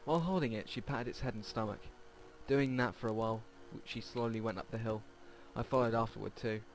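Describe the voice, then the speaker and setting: A man's voice: mid-range voice, steady tone, fairly quick, low-quality recording. A man hosting a let's play, reading visual novel text aloud and stumbling over words now and then. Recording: game music behind the voice. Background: game music.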